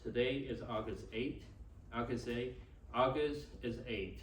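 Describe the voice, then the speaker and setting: A man with a Taiwanese accent speaks to a class in a loose, informal way, at a middling pitch. He is picked up from a distance in a reverberant room, with a little noise around him. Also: fairly even intonation, measured pace. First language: Chinese. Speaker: class instructor. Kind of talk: informal teaching and personal introduction. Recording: echoey room, distant mic, faint noise.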